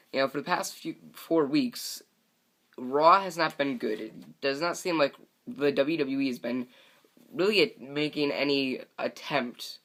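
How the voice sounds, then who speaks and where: A man's voice: medium pitch, a bit bright. A young man hosting a solo wrestling podcast, rambling with many fillers and restarts, his voice a little scratchy from talking all day, on a broken microphone. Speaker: podcast host.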